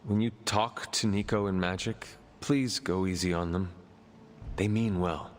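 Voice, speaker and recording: An actor playing a solemn, earnest resurrected demon hunter in a video game, speaking formally and with measured phrasing. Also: male voice, sits low, fairly even intonation. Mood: gentle, earnest. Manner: formal, measured, avoids contractions. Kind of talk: video game cutscene acting.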